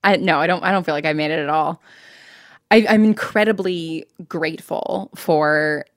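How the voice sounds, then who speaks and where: A woman's voice: low voice, normal speed, dry recording, studio quality. An American woman speaking candidly as an interview guest, in a chatty, informal way with lots of "like" and self-interruptions. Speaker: interview guest, fiction podcast writer and producer.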